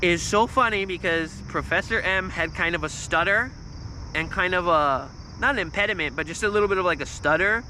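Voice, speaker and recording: A man in his thirties with a squeaky, nasal voice, telling a story in a loose, swearing livestream ramble. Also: a little fast, pushed and bright, a little hiss or hum, rough recording. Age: 30s. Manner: swears freely, restarts often, volume spikes. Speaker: vlogger on a livestream.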